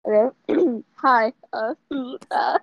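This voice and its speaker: A young woman chatting casually on a podcast, flustered and halting, repeating words, through a dull lo-fi microphone. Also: fairly high pitch, creaky, dry recording. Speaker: podcast co-host. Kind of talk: casual group chat.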